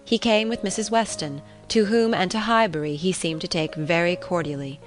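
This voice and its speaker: A woman reading a classic novel as an audiobook narrator, in a measured, formal manner. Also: lowish voice. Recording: relaxing music behind the voice.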